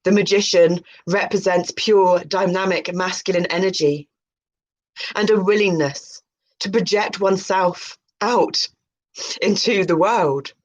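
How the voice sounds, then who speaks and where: Slow-paced woman on a dull, lo-fi recording. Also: on the low side. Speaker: video host. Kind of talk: reading aloud about tarot with chatty spiritual asides. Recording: muffled, dull lo-fi sound.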